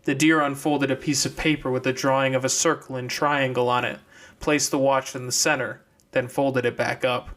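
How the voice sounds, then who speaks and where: A man's voice: mid-range voice, little pitch movement, normal speed, a bit bright. A man narrating scripted fiction as an audiobook reader.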